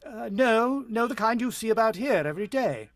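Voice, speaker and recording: A man's voice: very high voice, expressive, normal speed, no echo, clean studio sound. A man acting in a radio drama as a shaken, earnest, protective father with a hesitant way of explaining himself. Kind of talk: radio drama acting and first-person narration.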